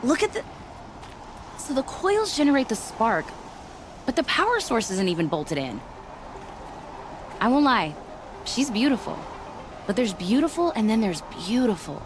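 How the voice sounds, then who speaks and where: Young game tinkerer, eager, animated pitch, stumbling chatter, noisy ambience. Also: female voice, normal speed, long pauses. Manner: animated, chatty, stumbles over words with excitement. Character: eager, excitable young woman weapon tinkerer. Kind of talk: video game cutscene acting.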